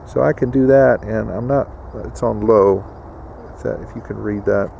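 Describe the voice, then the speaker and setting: Gravelly guy doing a folksy how-to vlog, kinda low voice, bit of noise behind him. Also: moderate pace, mellow. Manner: folksy, addresses viewers as guys. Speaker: video host. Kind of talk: casual instructional vlog talk to camera.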